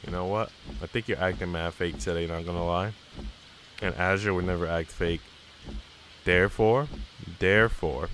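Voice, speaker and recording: A man's voice: low-pitched, fairly bright tone, a little hiss or hum, low-quality recording. A man live-streaming, reading a visual novel aloud and chatting casually with viewers in a slangy, teasing way.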